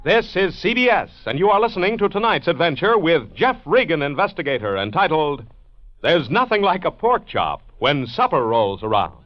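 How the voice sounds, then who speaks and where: American man, old-time radio announcer, slightly high pitch, animated melody, lo-fi recording. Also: moderate pace. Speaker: network announcer. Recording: dull vintage radio recording.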